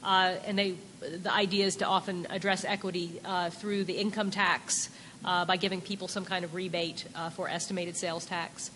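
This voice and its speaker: A woman's voice: fairly low pitch, little pitch movement, normal speed, a bit bright, a little hiss or hum. A woman on a conference panel explaining tax policy, hesitant and full of filler sounds. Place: conference hall. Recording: echoey conference hall.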